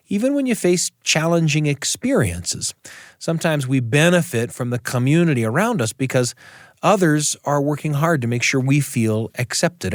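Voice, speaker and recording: A man's voice: medium pitch, no room sound, clean studio sound. An American man hosting a radio show, reading scripted narration.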